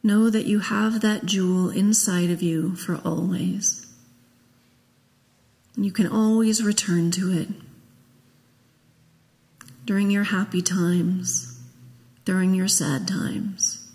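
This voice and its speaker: A woman minister leading a guided meditation, speaking gently and in a measured way with long pauses. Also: mid-range voice, steady tone. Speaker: minister leading a memorial service. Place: large church sanctuary, at a pulpit. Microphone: gooseneck pulpit microphone. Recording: long echo of a church hall.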